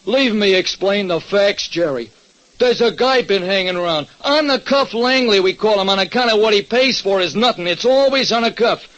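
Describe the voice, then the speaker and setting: Jumpy new tough guy who runs a burger joint, way high voice, slangy, muffled old radio show. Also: animated, moderate pace, fairly bright tone. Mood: worried, agitated. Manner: streetwise ungrammatical wise-guy talk. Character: Louie, excitable ex-burglar diner owner, anxious tough guy. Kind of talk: 1940s radio detective drama acting. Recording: muffled vintage radio recording.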